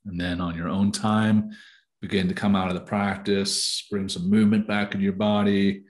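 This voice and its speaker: A man leading a guided meditation, giving calm, soothing and deliberate instructions. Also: fairly low pitch.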